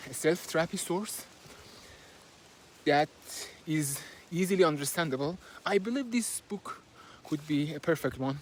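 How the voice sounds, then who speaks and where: A man's voice: on the high side, unhurried, a little hiss or hum. A man with a Persian accent, whose first language is Persian, talks casually while walking outdoors. He summarizes a book hesitantly, with many fillers and restarts, recorded on a simple phone. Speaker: book reviewer. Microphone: handheld phone, no fancy equipment.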